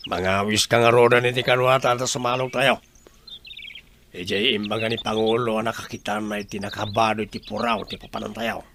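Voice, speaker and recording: A man playing an eager, slow-witted rebel henchman in a radio drama, blustering and deferential to his chief. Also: fairly low pitch, little pitch movement, bright, forceful tone, a little hiss or hum. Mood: eager, scheming.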